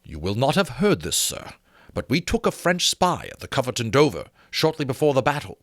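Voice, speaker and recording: An earnest officer and gentleman, as a man performs him from a novel. He speaks with formal deference and wide, lively swings of pitch. The sound is close and dry. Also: fairly quick, no room sound. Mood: wondering, serious. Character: Lawrence, earnest, polite, dutiful officer and gentleman. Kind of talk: dramatic audiobook character reading.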